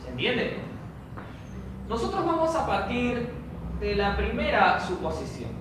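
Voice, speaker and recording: Slow, methodical male teacher in echoey room. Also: high-pitched, wildly swooping pitch. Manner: slow, methodical, explains step by step. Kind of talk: recorded online training lesson. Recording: echoey room, distant mic, noisy.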